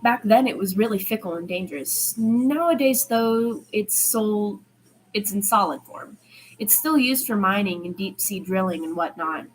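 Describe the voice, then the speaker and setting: A woman voicing a soft-spoken, bashful young male student in a story, polite and a little hesitant. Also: mid-range voice, moderate pace, a little hiss or hum, natural voice, rough recording. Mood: eager. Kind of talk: amateur fiction character dialogue.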